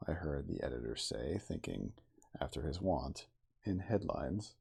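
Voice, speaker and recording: Low gravelly guy doing an asmr book reading, lots of rise and fall. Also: warm tone. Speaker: audiobook-style reader. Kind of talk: ASMR reading of a classic novel.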